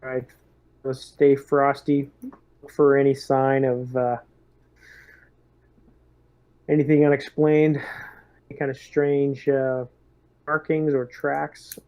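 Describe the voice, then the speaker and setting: A man roleplaying a squad leader in a tabletop game, speaking in measured, hesitant phrases. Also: mid-range voice, level tone. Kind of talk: casual in-character tabletop roleplay. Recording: dull, muffled lo-fi mic.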